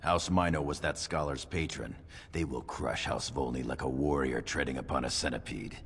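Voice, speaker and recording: An actor playing a gruff, loyal dwarf attendant in a fantasy video game, dry and dismissive in manner. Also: male voice, a bass voice, moderate pace, a bit bright, full character voice. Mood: dry, scornful. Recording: reverberant hall with noisy ambience.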